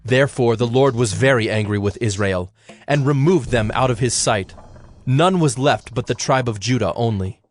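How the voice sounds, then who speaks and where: A man's voice: mid-range voice, soft background music. Solemn male scripture narrator. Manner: measured, formal.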